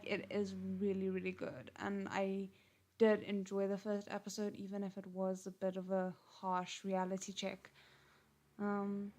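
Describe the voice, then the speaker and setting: Woman, South African accent, casual livestream chat, rambling, even flat tone. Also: mid-range voice. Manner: rambling, chatty, with frequent ums and restarts. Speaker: channel host.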